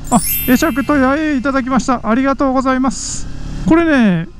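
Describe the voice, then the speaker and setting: A man's voice: very high-pitched, fairly quick, a little hiss or hum. A man hosting a motorcycle vlog, narrating casually and exclaiming at the views while riding on a mountain road. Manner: excited, frequent exclamations at the scenery. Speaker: motovlog host. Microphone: helmet mic on a moving motorbike.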